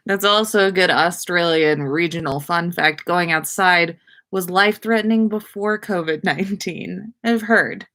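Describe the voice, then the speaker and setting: A woman's voice: mid-range voice, pushed and bright. A woman hosting a casual livestream, chatting with viewers in an informal, slangy, mildly sweary way. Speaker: podcast host. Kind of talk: casual livestream chat reading viewer comments.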